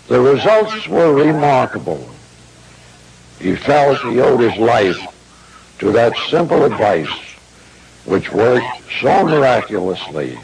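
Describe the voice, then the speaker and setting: A man delivering a formal esoteric lecture in a solemn, deliberate, grandiloquent manner. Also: mid-range voice, poor audio.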